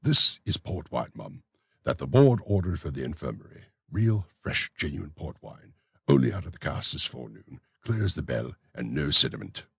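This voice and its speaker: A man's voice: extremely low pitch, very animated, normal speed, creaky, fairly bright tone, husky, no room sound, full character voice. A man voicing a pompous, self-important male parish beadle who lectures in a blustering way. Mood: boastful. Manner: blustering, self-satisfied, preachy.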